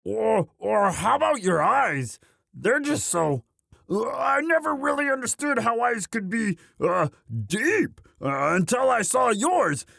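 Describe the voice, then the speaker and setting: A man voicing a bashful, devoted himbo orc boyfriend in a romantic audio roleplay, halting and stammering sheepishly. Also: extremely high pitch, very animated, vocal fry, bright, forceful tone, no echo, studio quality, exaggerated voice. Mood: tender, smitten.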